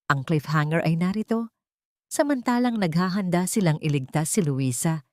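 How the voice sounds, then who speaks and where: Woman, Filipino accent, lower-pitched, dry close sound, solemn melodramatic storytelling. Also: mellow, no echo. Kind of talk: dramatic scripted story narration.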